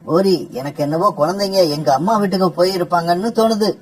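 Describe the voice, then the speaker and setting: An actor voicing a gentle, mild-mannered father bird in a cartoon, pleading with his family. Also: mid-range voice, fast, natural voice. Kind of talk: cartoon dub acting.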